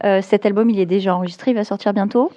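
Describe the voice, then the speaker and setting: A woman radio interviewer leads a conversation with friendly, steady questions. Her voice is mellow, and the sound is slightly dull and lo-fi. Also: mid-range voice, racing.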